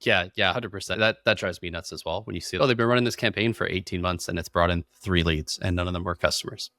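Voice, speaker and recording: A man's voice: low voice, fast talker, sharp tone, no echo. A man hosting a podcast, chatting casually and agreeably in an informal business interview. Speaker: podcast host.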